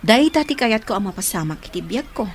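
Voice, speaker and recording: A woman's voice: fairly high pitch, big pitch swings, a little fast, slight background noise. A woman with an Ilocano accent playing a frank, lovelorn single woman in her thirties in a radio drama. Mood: gently concerned. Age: 30s.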